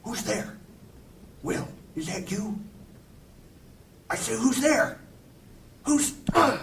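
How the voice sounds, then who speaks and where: A woman acts out an alarmed watcher in a fantasy audio drama. The voice is very slow and creaky, calling out from far off in an echoey space. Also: fairly high pitch, expressive, a little hiss or hum, heavily acted. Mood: startled, wary.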